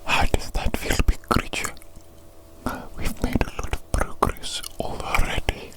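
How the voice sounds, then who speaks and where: A woman voicing an evil doctor in a whispered ASMR roleplay, soothingly tending a creature with deliberate, repeated phrases. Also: lightly acted. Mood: soothing, attentive. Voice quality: breathy, unvoiced whisper. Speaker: ASMR performer.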